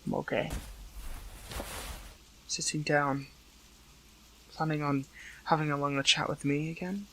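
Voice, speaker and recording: A man playing a weakened captive young superhero in an intimate audio roleplay, hurt but earnest, speaking haltingly with emotion. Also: a little high, little pitch movement, creaky, pushed and bright, a little hiss or hum, full character voice, low-quality recording. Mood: weary, frustrated.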